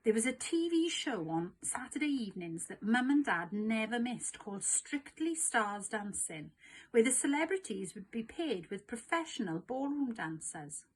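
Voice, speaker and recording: A woman narrating a children's storybook aloud in an expressive, scripted read-along style. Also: on the high side, moderate pace, husky, rough recording.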